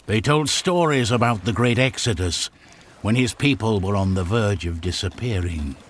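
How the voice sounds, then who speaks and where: A man narrating a video game story in a measured, dramatic storyteller's manner, with music behind him. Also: on the low side, a little hiss or hum. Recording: music under the voice.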